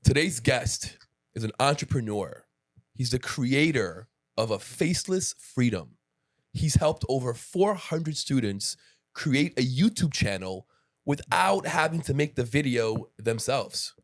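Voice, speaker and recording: A man's voice: medium pitch, expressive, a little slow. A man in his late thirties hosting a podcast, chatting casually and rambling with restarts and asides. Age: 30s. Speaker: podcast host. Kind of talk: casual interview chat.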